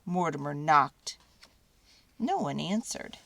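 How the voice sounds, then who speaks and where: A woman reading a children's storybook aloud dramatically, with theatrical, deliberate phrasing and drawn-out words. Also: deep voice, very animated, creaky, fairly bright tone.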